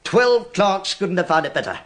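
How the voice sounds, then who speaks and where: A man acting in a radio drama as a wry, casual, quietly probing visitor with a British accent. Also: high voice, expressive, normal speed, a little hiss or hum. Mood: wry, joking.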